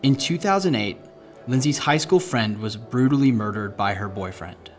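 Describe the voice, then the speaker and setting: A man's voice: medium pitch, lively intonation, normal speed. A man reading a written tribute aloud in scripted narration. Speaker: company employee reading submission stories. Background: background music.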